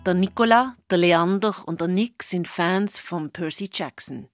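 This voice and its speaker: A woman's voice: on the low side, unhurried, dry recording, clean studio sound, soft background music. A woman presenting a children's radio program as its host. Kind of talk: radio moderation.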